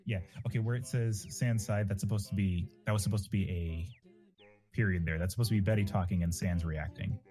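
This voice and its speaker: A man's voice: low voice, steady tone, a little fast, warm tone, faint music behind. A man in casual livestream chat, also narrating fan fiction aloud. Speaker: voice actor, stream guest.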